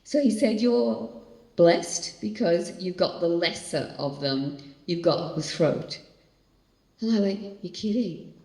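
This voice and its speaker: An older woman pastor from Australia giving an animated, storytelling church talk on coping with stress. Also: lowish voice, slow. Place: large hall. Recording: echoey hall, long reverb.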